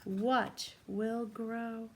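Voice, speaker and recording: A woman doing an interactive read-aloud for young children, gently asking questions and pausing for answers. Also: a little high, level tone. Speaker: teacher reading a picture book to young children. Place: small room at home, indoors.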